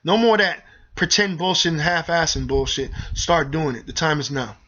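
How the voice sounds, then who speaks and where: A man's voice: fairly high pitch, moderate pace, pushed and bright. A man ranting heatedly to his viewers in a vlog, preachy and repetitive, calling on them directly as y'all. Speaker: video host. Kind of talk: impassioned vlog rant to viewers. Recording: dull lo-fi sound.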